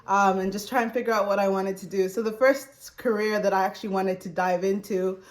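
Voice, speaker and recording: A woman's voice: medium pitch, steady tone, brisk. A woman in her twenties from Zambia, chatting casually as an interview guest, often saying "like" and "you know". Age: 20s. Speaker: interview guest, recruiting manager. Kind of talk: casual personal interview storytelling.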